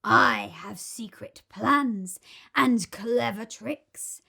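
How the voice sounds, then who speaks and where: A huge, greedy crocodile from a children's storybook, acted out by a woman. He is sly and boastful, lingering very slowly on each word with menacing relish. His pitch rises and falls freely as he gloats. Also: big pitch swings, vocal fry, pushed and bright, breathy edge, heavily acted. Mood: sly, gloating. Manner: slow, menacing, relishing words, drawn out.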